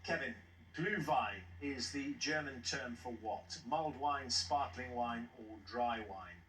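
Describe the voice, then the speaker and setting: Bright-voiced quiz show host man. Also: mid-range voice, a little slow. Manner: jovial, chuckles at contestants' replies. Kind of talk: TV quiz hosting. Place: TV studio. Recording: echoey studio, mic at a distance, faint hiss.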